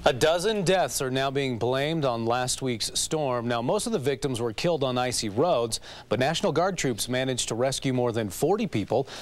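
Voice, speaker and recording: A man's voice: medium pitch, expressive, normal speed, hardly any pauses. A man with an American accent delivering scripted news as an anchor.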